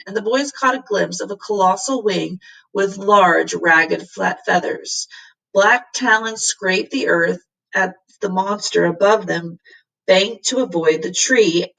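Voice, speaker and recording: A woman teacher reading a children's storybook aloud with expressive narration, recorded away from the microphone. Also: medium pitch, gravelly, distant mic, poor audio.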